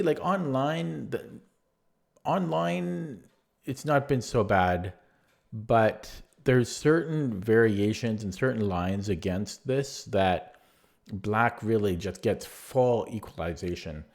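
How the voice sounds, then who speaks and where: A man going over one of his own chess games move by move in a relaxed, rambling way, with a voice that is going hoarse. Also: mid-range voice. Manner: rambling, many fillers and restarts. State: losing his voice. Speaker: chess player reviewing his own game. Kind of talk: casual spoken chess analysis.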